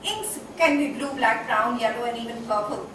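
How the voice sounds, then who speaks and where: A woman's voice: a little high, fairly bright tone, lightly acted. A woman playing an eccentric, passionate, half-mad character in a poetic, declamatory stage monologue. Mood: musing, wry. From India. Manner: declamatory, poetic, dramatic drawn-out delivery. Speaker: stage actor. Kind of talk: theatrical one-act play monologue. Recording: echoey room, distant mic, faint noise.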